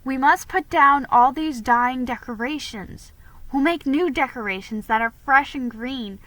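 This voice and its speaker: Woman as young girl, storybook big sister, earnest, hopeful, protective, bright, unhurried. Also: high voice, dry recording, pitched above the performer's own voice, low-quality recording. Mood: hopeful, resolute.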